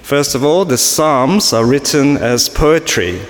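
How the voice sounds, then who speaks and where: A man from Singapore preaches to a family congregation in a loose, chatty way, tossing in self-mocking jokes and plenty of ums. His voice sits at an ordinary middle pitch and rings around a big church, with a long reverb tail after each phrase. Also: animated, slow. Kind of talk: sermon to a family church service. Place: large church.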